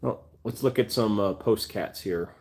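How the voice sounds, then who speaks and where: A man in his forties hosts a relaxed livestream, reading out chat and answering in a low, easygoing voice. He rambles and restarts often, stuffing in fillers. Between comments he turns to fuss over his cats. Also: a little slow, breathy edge. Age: 40s. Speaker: cat channel livestream host.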